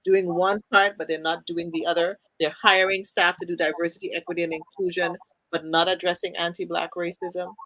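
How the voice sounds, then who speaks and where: A playful, expressive woman from Trinidad hosting a panel in a casual, conversational way. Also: fairly low pitch, a little fast, no echo, rough recording. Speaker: host, panel moderator.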